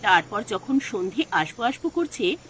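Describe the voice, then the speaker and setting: A woman's voice: medium pitch, very animated, moderate pace, background noise. A woman narrating a scripted horror story in a dramatic storytelling style. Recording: music behind the voice.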